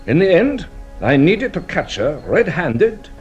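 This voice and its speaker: An actor playing a shrewd, courteous police detective in a radio drama, speaking with a theatrical, deliberate manner. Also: male voice, on the high side, sing-song, darkish tone, highs cut off, soft background music. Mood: emphatic. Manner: theatrical, deliberate explaining, savouring each revelation.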